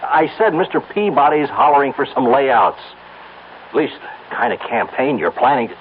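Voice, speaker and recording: A man's voice: medium pitch, animated, normal speed, lightly acted. An actor playing a blunt, wisecracking male coworker in a 1970s radio drama, using slangy banter and stammering when things get awkward. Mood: wry. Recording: tinny old radio broadcast with faint hiss.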